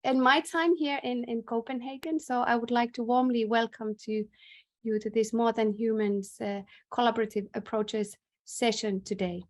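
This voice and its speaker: A woman from northern Finland with a Finnish accent, formally introducing a conference session on a video call in a measured, hesitant way. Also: fairly high pitch, fairly even intonation. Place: indoors in a bright room, on a video call. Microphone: video call microphone.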